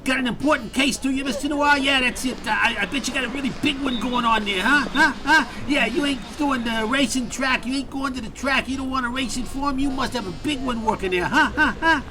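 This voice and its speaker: An extremely high-pitched, folksy newspaper seller in a campy radio comedy. He is eager and chatty, pestering away with repeated little questions. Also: fairly quick, hardly any pauses, noisy background. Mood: eager, nosy. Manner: repetitive, pestering, folksy grammar. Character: eager, chatty, folksy male newsstand vendor.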